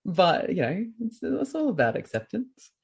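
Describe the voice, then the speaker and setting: Male podcast host, light soft-toned voice with a gravelly edge, rambles reflectively through personal stories. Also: high-pitched, huge pitch swings, normal speed. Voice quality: light voice, not very masculine. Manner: open, reflective, rambling with fillers. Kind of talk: casual podcast chat.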